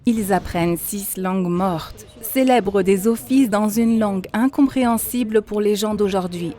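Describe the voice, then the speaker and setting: A woman voicing an earnest female mentor in a cartoon, lecturing in a measured, instructive way. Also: mid-range voice, mellow, close to an everyday voice. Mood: earnest, didactic. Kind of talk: dubbed cartoon dialogue, didactic monologue. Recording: music behind the voice.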